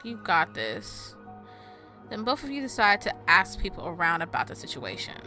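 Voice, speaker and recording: A woman's voice: medium pitch, big pitch swings, normal speed, pushed and bright. A woman game streamer giving casual playthrough commentary and reading game text aloud. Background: game music.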